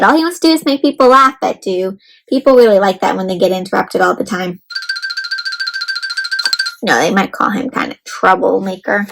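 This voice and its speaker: A woman hosting an interactive read-aloud for young children, expressive, asking them questions and explaining things simply. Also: fairly high pitch, low-quality recording.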